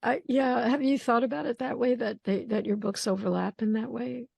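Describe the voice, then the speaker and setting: A woman hosting a poetry conversation over a video call, thoughtful and searching, with many pauses and restarts. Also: fairly high pitch, steady tone, gravelly, a little mellow, slightly rough, no echo. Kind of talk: casual video call discussion.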